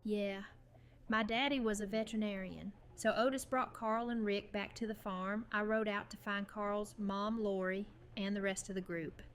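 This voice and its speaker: A woman playing a guarded but warm farm-raised young survivor in an audio drama, talking in dialogue. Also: mid-range voice, steady tone, moderate pace, natural voice. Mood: somber, sympathetic.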